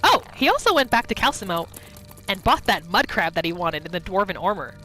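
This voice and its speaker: Lively woman narrating a game playthrough. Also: mid-range voice, brisk, soft background music, rough recording. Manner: chatty, informal, storytelling in third person. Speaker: gaming video host. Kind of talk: casual roleplay gameplay narration.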